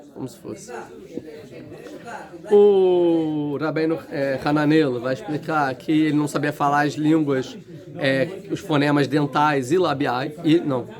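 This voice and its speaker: A man with a fairly high voice teaching a class in a large room. He talks casually and thinks aloud, often breaking off and restarting his sentences. Students can be heard clearly around him. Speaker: Torah teacher. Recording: room with crowd noise.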